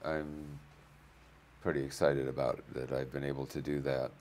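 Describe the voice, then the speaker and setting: A man hosting an interview show, slightly hoarse from a cold, chatty with frequent fillers. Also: extremely low pitch, level tone, normal speed, darkish tone, a little hiss or hum. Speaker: host.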